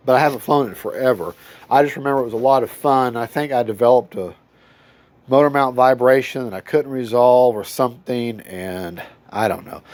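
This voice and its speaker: A man's voice: mid-range voice, a little mellow. Nasal-sounding man with a cold, rambling. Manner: rambling, self-correcting, folksy. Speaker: RC hobby reviewer. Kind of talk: casual unboxing review. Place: home hobby room.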